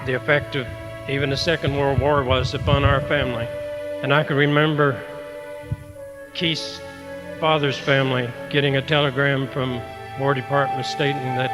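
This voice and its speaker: A man in his eighties with a rural American accent, recalling his past in a halting, grave way as a veteran. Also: mid-range voice, level tone. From rural United States. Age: 80s. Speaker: war veteran. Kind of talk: personal reminiscence. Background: music.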